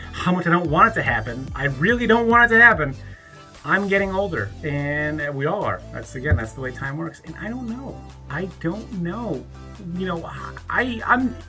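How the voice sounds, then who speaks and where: A man in his thirties with a bright, forceful voice pitched a little high talks to his viewers in a loose, rambling way. He stops and restarts his sentences often and swears freely. Soft music plays faintly behind him. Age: 30s. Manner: rambling, halting, with many restarts; rises into an angry, profane rant. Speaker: YouTuber. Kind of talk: reflective birthday vlog monologue.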